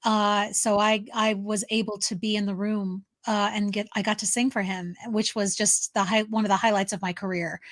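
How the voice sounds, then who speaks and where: A woman's voice: mid-range voice, little pitch movement, moderate pace, hardly any pauses. A woman chatting casually as a co-host, telling personal stories in an emphatic way. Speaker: talk show co-host. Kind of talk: casual anecdotal chat.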